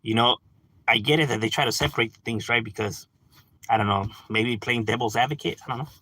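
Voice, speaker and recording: A man's voice: medium pitch, fairly quick, no room sound, low-quality recording. A man hosting a livestream, arguing casually and animatedly, calling listeners "bro" and laughing between points. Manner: animated, argumentative, addresses chat as "bro"; frequent laughs and filler "you know". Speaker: livestream host.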